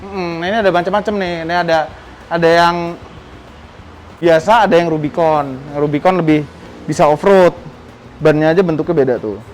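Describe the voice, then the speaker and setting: A man's voice: on the high side, fairly even intonation, brisk, long pauses, a little mellow, slight background noise, poor audio. An Indonesian man hosting a car vlog, chatting casually and teasing his guest with short, clipped questions and replies. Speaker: car channel host. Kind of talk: casual chat, showing cars and questioning a guest.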